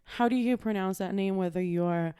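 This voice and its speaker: Chatty woman with a smooth voice. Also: mid-range voice, normal speed, warm tone. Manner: chatty, laughing, lots of fillers. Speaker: podcast co-host. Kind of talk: casual chat.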